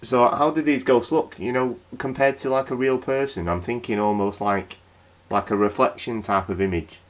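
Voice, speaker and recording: A man's voice: mid-range voice, steady tone, normal speed, natural voice. An actor playing a chatty, wry male local radio night host. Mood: curious, chatty.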